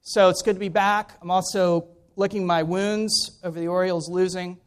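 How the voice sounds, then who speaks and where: A middle-aged American man speaks as a visiting preacher. His voice sits high for a man and rings clean and smooth. He talks in an informal, conversational way with a self-deprecating streak. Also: steady tone, normal speed. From Maryland, United States. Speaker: guest preacher, pastor. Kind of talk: informal spoken introduction to a sermon.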